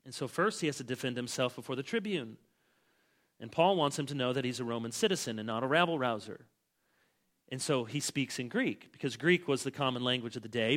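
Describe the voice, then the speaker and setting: A middle-aged male pastor preaching an expository sermon, swinging between loud, stressed phrases and quiet asides. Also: mid-range voice. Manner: emphatic, with big swings in loudness.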